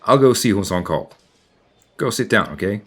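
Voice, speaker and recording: A calm, concerned man in an audio drama. His voice is on the low side with lively, varied intonation, and he sounds helpful. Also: normal speed, husky. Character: concerned adult male bystander, calm and helpful.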